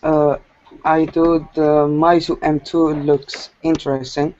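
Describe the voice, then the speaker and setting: A man's voice: a little high, level tone, slow, darkish tone. A young man making short, casual remarks about tech as a participant in an online group talk. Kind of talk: casual, brief tech chat. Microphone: online voice call. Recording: muffled online call, faint noise.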